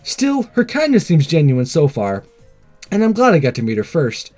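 Animated high-voiced man narrating a let's play. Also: moderate pace, faint music behind. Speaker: gaming video host reading a visual novel aloud. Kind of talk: narrated let's play, scripted reading and casual sign-off.

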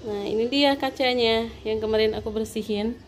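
Woman, house tour, chatty, flat pitch, faint background music. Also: moderate pace. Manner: chatty, addresses viewers as friends; quiet, soft-spoken. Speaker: home vlogger giving a house tour. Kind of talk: casual house tour narration. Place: inside a home.

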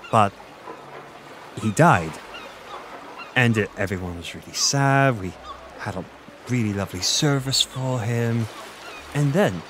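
A man's voice: mid-range voice, close to an everyday voice. A man playing a chatty Irish innkeeper, ambient noise behind. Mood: confiding, sombre. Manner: chatty, informal, confiding. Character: friendly, flirty male Irish innkeeper in a fantasy village. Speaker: voice actor in audio roleplay. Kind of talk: conversational romantic audio roleplay acting. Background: village ambience with distant chickens.

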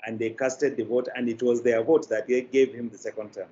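An older man with a Nigerian accent telling anecdotes in an interview, choosing his phrases in a deliberate, halting way. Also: medium pitch, little pitch movement, warm tone, slight background noise. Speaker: media producer, interview guest. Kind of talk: interview answer, anecdotal storytelling. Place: indoor room, on a video call. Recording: slightly dull video-call audio.

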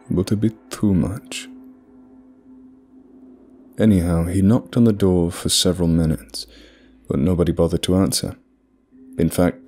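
Deep-voiced man narrating a horror tale. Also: darkish tone. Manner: low, measured storytelling delivery. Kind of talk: scripted horror story narration.

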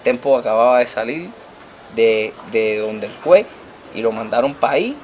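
A young man from the Dominican Republic speaks with a Puerto Rican Spanish accent, animated and gesturing as he tells his story. His voice sits at an ordinary middle pitch. A faint hum of night insects runs behind the handheld mic outdoors. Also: normal speed. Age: young adult. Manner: animated, gesturing, repeats "tú me entiendes". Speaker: interviewee. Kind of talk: street interview storytelling. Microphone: handheld mic held by interviewer.

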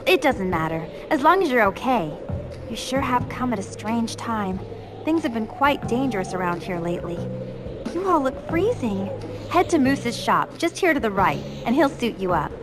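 A high-voiced, lively young woman of a video game, friendly and excitable. She chats emphatically with big swings in pitch, over game music. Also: moderate pace. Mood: concerned. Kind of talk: animated video game voice acting.

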